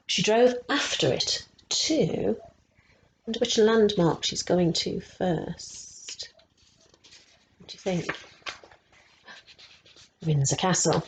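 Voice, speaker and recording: A woman reads a children's picture book at a very unhurried pace. Her voice sits slightly low for a woman. She keeps stepping out of the story to explain things and prompt small listeners with questions, over a faint background noise. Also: a little mellow, low-quality recording. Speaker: resident story teller.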